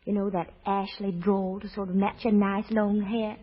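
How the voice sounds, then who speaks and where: Husky woman on muffled old radio. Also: medium pitch, little pitch movement, normal speed. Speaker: guest in a curtain-call chat. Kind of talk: 1930s scripted radio banter. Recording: muffled 1930s radio recording.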